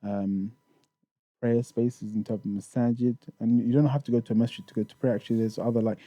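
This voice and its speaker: A man's voice: mid-range voice, steady tone, fairly quick, mellow, no echo. A man raised in the UK, talking casually as a podcast guest about touring in Japan, with many restarts and fillers. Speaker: guest, Japan tour organizer.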